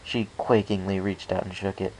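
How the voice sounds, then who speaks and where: A man's voice: low-pitched, level tone, normal speed, a little mellow, slight background noise, rough recording. A man reading a first-person story aloud as scripted narration. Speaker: amateur fan fiction reader.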